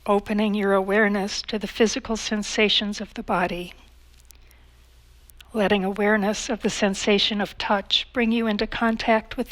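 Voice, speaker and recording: Calm woman guiding a meditation. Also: mid-range voice, low-quality recording. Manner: calm, measured, with long pauses. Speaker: meditation instructor. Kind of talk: guided meditation.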